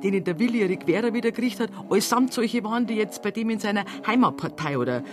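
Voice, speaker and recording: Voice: mid-range voice, expressive, fast talker, music in the background, close to an everyday voice. A performer playing an aggrieved, talkative small-town local with a Bavarian accent in a radio drama, rambling in dialect. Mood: aggrieved, grumbling. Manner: grumbling, rambling dialect talk. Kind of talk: naturalistic radio crime drama acting.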